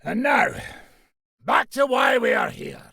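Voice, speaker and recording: Gruff raspy merc boss for a game, cocky, sweary, really husky, pitch all over the place. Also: male voice, very animated, slow, pushed and bright, dry recording, raised voice, clean studio sound, exaggerated voice. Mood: furious shouting. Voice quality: raspy, gravelly. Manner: brash, sardonic, commanding, swearing freely. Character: foul-mouthed, swaggering, gruff male mercenary gang leader. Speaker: video game character voice actor. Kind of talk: game dialogue, coarse medieval banter and battle shouts.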